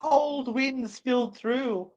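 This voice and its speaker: A woman's voice: high voice, slow, darkish tone, muffled recording. A woman co-hosting and narrating a live dramatic story reading, playful and theatrical, slipping into chatty banter. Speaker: co-host and narrator.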